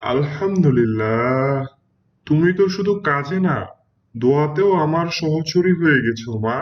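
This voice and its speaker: A man's voice: a little high, lively intonation, a little slow. Man as a muffled, doting cartoon father. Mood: grateful, fond. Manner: doting, gentle. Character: affectionate, devout father of a little girl. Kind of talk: Islamic moral cartoon voice acting. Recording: muffled, slightly distant, noisy ambience.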